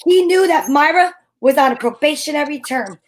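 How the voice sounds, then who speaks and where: A woman playing a brisk, bossy, wry female detective who narrates her case in old-time radio drama style. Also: high-pitched, lightly acted. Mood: narrating, wry. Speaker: narrator and lead actor.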